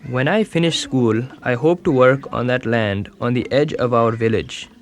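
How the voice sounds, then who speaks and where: A man voicing a calm young schoolboy narrator. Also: mid-range voice, natural voice. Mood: earnest. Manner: calm, measured first-person storytelling. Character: young village schoolboy telling his story. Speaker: documentary narrator. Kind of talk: scripted documentary voice-over. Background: music.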